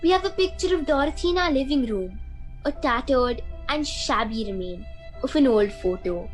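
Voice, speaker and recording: A woman plays a blunt, bold mother in a student radio play. The voice is high and swings widely, with theatrical emphasis, and faint music plays underneath. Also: animated, normal speed. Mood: defiant.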